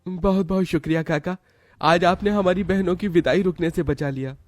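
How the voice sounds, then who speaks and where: Brief: a man playing a selfless cartoon brother, earnest, fairly high voice. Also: normal speed, no room sound, faint music behind, close to an everyday voice. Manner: earnest, emotional. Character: two poor devoted older brothers, selfless. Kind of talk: cartoon voice acting.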